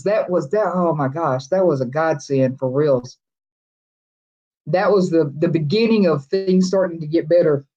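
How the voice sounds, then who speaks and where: This is a friendly, rambling livestream host with a drawl who reads out viewer comments and answers them in easy, casual chat. The voice sits at a middling pitch and chuckles come often between restarted sentences. The audio is dull and lo-fi. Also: a little mellow.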